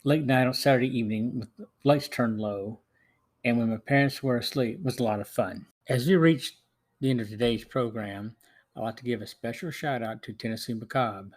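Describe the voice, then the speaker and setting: A man's voice: mid-range voice, moderate pace. A middle-aged or older man hosting a podcast, reading a scripted film review with the occasional stumble. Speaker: podcast host.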